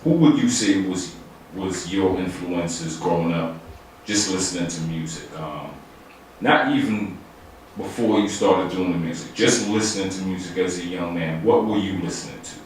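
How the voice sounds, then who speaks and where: A man hosting a radio show, interviewing a guest in a relaxed, conversational way with some fillers. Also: sits low, normal speed. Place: radio studio. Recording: very echoey room, distant mic, faint noise.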